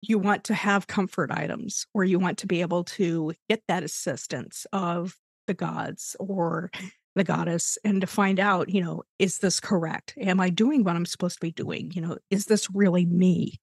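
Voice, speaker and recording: A woman's voice: fairly low pitch, fairly even intonation, moderate pace, creaky, darkish tone, breathy edge, no echo, studio quality. A woman in her forties chatting on a podcast in a rambling, reflective way, with lots of "you know.". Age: 40s. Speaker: podcast co-host and tarot deck designer. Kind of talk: casual chat.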